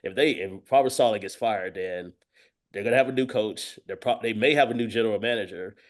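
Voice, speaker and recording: A man's voice: lowish voice, fairly quick. A man talking as a guest sports analyst, giving conversational football commentary sprinkled with verbal tics like "you know".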